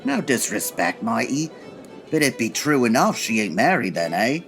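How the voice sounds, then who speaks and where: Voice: medium pitch, very animated, normal speed, fairly bright tone, soft background music. An actor playing a matey working-class Cockney groom in a comedy audio drama, colloquial in manner. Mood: cajoling.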